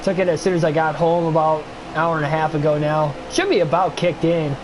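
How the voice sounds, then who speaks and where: A man's voice: a little high, a little fast, background noise. Stuffy-nosed young man calling a sim race. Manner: excitable play-by-play, chatty asides to viewers. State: stuffy nose, voice getting worn. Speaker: streamer doing race commentary. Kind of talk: play-by-play sim racing commentary.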